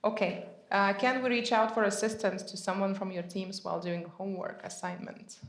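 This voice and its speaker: A woman's voice: mid-range voice, fairly quick. A woman hosting a live panel in an office, reading out audience questions and answering practically. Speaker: event host and recruiter. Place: office event space.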